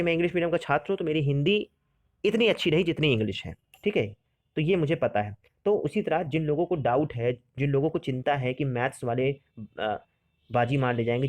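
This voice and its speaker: A man's voice: mid-range voice, no room sound. Young guy chatting through exam stuff, repeats himself a lot, dry close sound. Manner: repetitive, conversational, keeps saying "theek hai". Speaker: exam coaching presenter. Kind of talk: informal explainer lesson.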